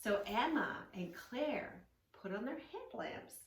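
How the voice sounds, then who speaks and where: A woman reading a story aloud to children and teaching yoga poses with gentle, encouraging praise. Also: on the high side, big pitch swings, slow pace, vocal fry. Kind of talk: read-along story with yoga instructions for children. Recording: roomy, echoing, distant microphone.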